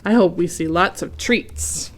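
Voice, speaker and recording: A woman voicing an excitable young male duck in a children's storybook reading, eager and chirpy. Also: fairly low pitch, measured pace.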